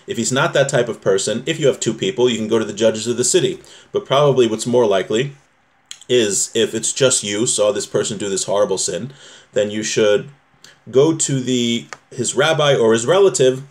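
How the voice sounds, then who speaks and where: Man, mid-pitched voice, religion teacher, informal lesson, reads text then explains, repeats key points. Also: normal speed.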